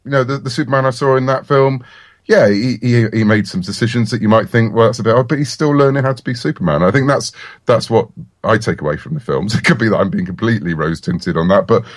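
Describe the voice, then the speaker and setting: A man's voice: medium pitch, fast talker, no echo, clean studio sound. A middle-aged British man speaking as a writer guest in a casual, chatty podcast interview.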